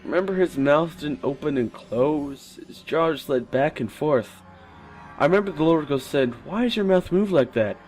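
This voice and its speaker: A man performs a searching male poster piecing together eerie memories of childhood television. The voice is slightly high and moves expressively in pitch, with music beneath the dramatic reading. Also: animated, normal speed, natural voice. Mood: eerie recall. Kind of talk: dramatic reading of online forum posts.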